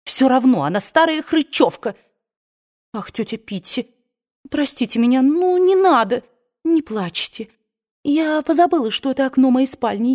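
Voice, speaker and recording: A woman reading an audiobook voices a willful, spoiled young woman who pleads and bursts out in tearful anger. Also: a little mellow. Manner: pleading, rising to shrill outbursts.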